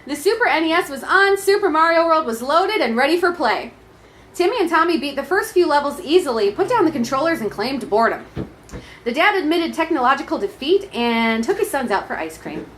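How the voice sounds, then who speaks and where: A woman tells a comic story live, reading it aloud. Her voice is high and swings around in an animated way. Some noise sits behind her. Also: fairly quick. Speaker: storyteller in comedy troupe.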